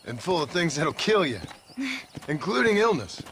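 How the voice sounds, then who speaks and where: Western game hero: calm, dry American cowboy, fairly high male pitch, faint noise behind. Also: moderate pace. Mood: wry concern. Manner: laconic, courteous, dry. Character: polite, wry cowboy drifter, calm and helpful. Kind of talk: video game dramatic acting.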